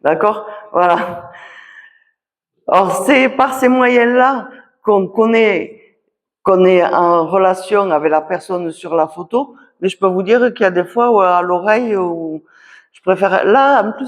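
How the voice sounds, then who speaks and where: A woman magnetiser giving readings at a public talk, speaking haltingly and often pausing on short confirmations. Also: mid-range voice. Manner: halting, punctuates phrases with "voilà" and "d'accord". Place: association conference hall. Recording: long hall reverb.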